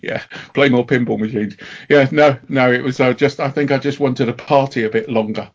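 A man's voice: medium pitch, fairly even intonation, brisk, slightly rough, muffled recording. A middle-aged man co-hosting a podcast, chatting casually with fillers and self-deprecating humour. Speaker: podcast co-host. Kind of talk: casual chat.

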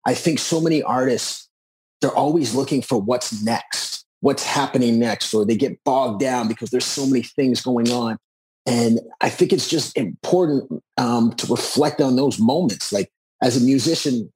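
A man's voice: medium pitch, normal speed, darkish tone, slightly rough, rough recording. A man, talking reflectively and earnestly as a musician in a conversational interview. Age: 40s. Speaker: musician and author being interviewed.

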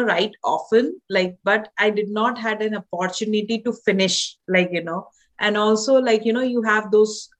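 A woman from India with an Indian accent, a holistic doctor and author in her thirties, answering interview questions with many pauses and restarts. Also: medium pitch, muffled recording. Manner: hesitant, many fillers and restarts. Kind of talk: podcast interview guest.

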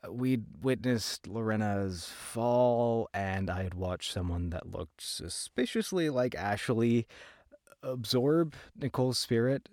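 A man acting an anxious, weary young man who gives a halting, confessional first-person account in an audio drama. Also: medium pitch, lively intonation, darkish tone, dry recording, studio quality. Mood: uneasy, reflective. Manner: halting, hesitant. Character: weary, anxious young man recounting a frightening road trip.

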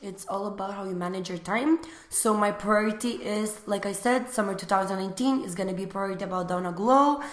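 A woman's voice: medium pitch, brisk, few pauses. A young woman from Lithuania, chatting casually as an interview guest about her small business, with lots of fillers. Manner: relaxed, chatty, many fillers. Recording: echoey room.

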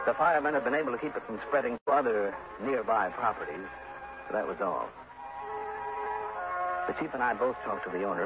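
Low-voiced radio detective. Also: male voice. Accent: American. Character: level-headed male insurance investigator narrating his case. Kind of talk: early 1960s radio drama acting and narration. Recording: dull old radio broadcast, music behind.

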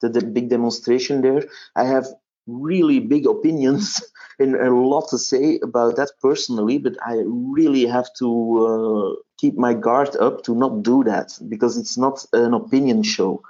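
A man's voice: mid-range voice, fairly even intonation, moderate pace, warm tone, highs cut off. A Belgian man with a Flemish accent, a native Dutch speaker who hosts a history podcast, chatting casually in an interview and often restarting his sentences with fillers. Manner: hesitant, many restarts and fillers.

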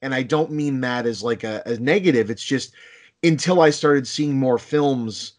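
A man from Boston with a Boston accent, chatting casually in a rambling, animated way with many restarts and casual swearing. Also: mid-range voice, normal speed. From Boston, United States. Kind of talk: casual chat.

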